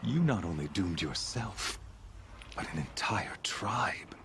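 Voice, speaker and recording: An actor playing a cold, scheming male villain who taunts in a quiet, measured, half-whispered way in a video game cutscene. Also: sits low, very animated, noisy background, exaggerated voice. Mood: gloating.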